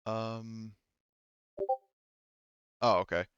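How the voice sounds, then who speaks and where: A man running a tabletop game session as game master, narrating informally and haltingly with frequent self-corrections. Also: fairly low pitch, fairly even intonation, fairly bright tone, clear, resonant tone, no echo, highs cut off.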